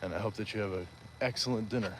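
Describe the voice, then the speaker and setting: A man's voice: on the low side, quick pace, slight background noise, low-quality recording. A man hosting a live stream while walking outdoors, chatting casually and reading viewer comments aloud with playful asides. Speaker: livestream host.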